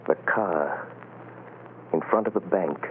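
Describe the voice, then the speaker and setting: Low, slow, commanding mind reader. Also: male voice. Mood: focused, urgent. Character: commanding mysterious male mind reader, intensely focused. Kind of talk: 1940s radio drama acting. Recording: muffled, hissy old radio broadcast.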